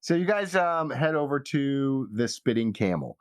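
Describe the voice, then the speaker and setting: Male game master, halting delivery, mid-pitched, narrating fantasy game, soft guitar music behind. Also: lively intonation. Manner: halting, trailing phrases.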